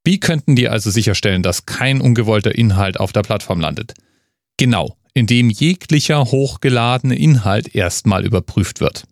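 A man's voice: fairly low pitch, vocal fry, dry recording, clean studio sound. A man giving conversational, emphatic opinion commentary straight to the listener, with rhetorical questions. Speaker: host of an online commentary.

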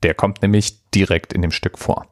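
Low-voiced man hosting a dry-sounding podcast. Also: steady tone, normal speed, no echo. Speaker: podcast host. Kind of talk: casual explanatory podcast monologue.

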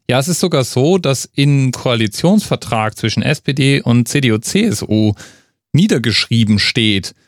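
A man's voice: medium pitch, no echo, studio quality. A man giving conversational, emphatic opinion commentary straight to the listener, with rhetorical questions. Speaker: host of an online commentary.

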